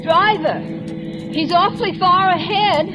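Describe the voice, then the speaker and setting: An actress playing a plucky, prim amateur sleuth, anxious but determined, in an old-time radio suspense drama. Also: female voice, very high-pitched, a little slow, over loud music. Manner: polite, precise, flustered. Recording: noisy vintage radio recording.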